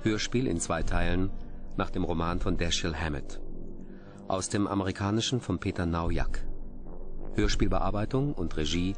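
A man's voice: low voice, normal speed. A man reading credits as a formal radio announcer. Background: music.

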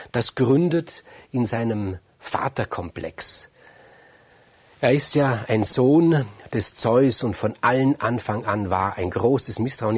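Low, husky male storyteller. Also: a little mellow, dry recording, highs cut off. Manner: conversational, emphatic repetition, addresses listeners directly. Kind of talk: free oral retelling of Greek myths.

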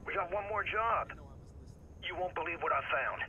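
An actor playing a scrappy, upbeat hacker sidekick in a video game, casual and folksy. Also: male voice, high-pitched, expressive, normal speed, pushed and bright, muffled recording, slight background noise, heavily acted. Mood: eager. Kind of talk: video game dramatic acting.

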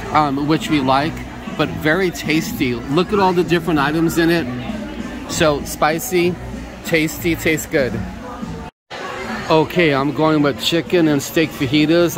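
An upbeat, chatty man reviews his meal in a busy restaurant, his voice sitting a little high for a man. Clear background music plays over the steady chatter of diners, picked up by a handheld camera mic. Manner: upbeat, chatty, simple praise words. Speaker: vlog host. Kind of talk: casual food review vlog. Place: busy restaurant dining room. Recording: restaurant music and crowd noise.

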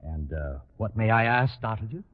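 A man playing a suspicious, probing businessman with a deliberate, mock-polite manner in 1940s radio sitcom style. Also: lowish voice, expressive, close to an everyday voice. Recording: dull crackly old radio broadcast with audience.

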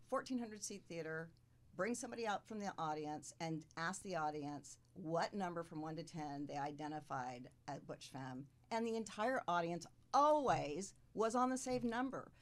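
A woman's voice: lowish voice, normal speed. A middle-aged woman guest telling frank, wry stories in a casual podcast conversation. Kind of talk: casual storytelling chat.

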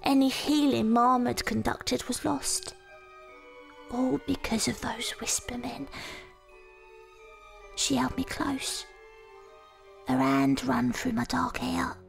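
Woman playing haunted young Victorian woman, hushed near-whisper narration, very gravelly voice. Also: high-pitched, level tone, slow, pauses often, soft background music, full character voice. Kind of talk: first-person audio drama narration.

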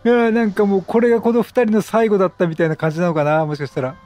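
A man doing casual Let's Play commentary as a game streamer, talking in a chatty, expressive, reactive way. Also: high-pitched, rapid-fire. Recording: game music behind.

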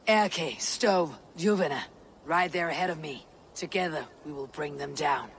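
Stern Viking warrior leader. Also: female voice, low-pitched, a little hiss or hum. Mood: resolute. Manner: stern, measured, resolute. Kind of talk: video game dramatic acting.

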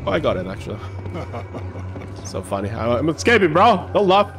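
Animated male streamer over game music. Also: on the high side, moderate pace. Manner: joking, laughs at himself, talks to chat. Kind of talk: live gameplay commentary. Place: home room, indoors. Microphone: headset.

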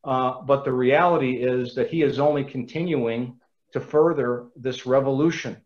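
Casting: earnest man lecturer on a video call, mid pitch, muffled audio. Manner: earnest, measured, quotes sources at length.

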